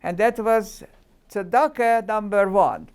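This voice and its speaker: A woman in her nineties from Hungary, recalling her childhood in a careful, halting way during a seated indoor interview. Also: mid-range voice, darkish tone, smooth. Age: 90s. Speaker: Holocaust survivor interviewee. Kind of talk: recounting childhood memories.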